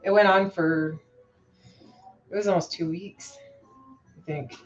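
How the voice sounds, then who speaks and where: A woman chatting casually on a live stream as she paints fishing lures, rambling and hesitant with lots of fillers, her voice a bit hoarse. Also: on the low side, steady tone, slow, muffled recording, faint music behind. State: slightly hoarse after losing her voice. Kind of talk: casual live-stream chat while working.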